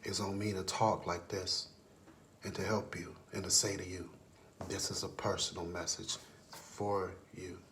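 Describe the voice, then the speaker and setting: A man giving an earnest late-night religious monologue, often sinking into a hushed whisper, sleepless. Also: low voice, flat delivery, a little slow, slight background noise. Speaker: vlogger.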